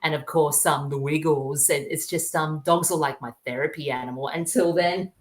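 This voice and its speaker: A woman's voice: low voice, fairly even intonation, moderate pace. A woman chatting casually as a podcast guest, gushing and effusive in the way they talk. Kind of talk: casual chat.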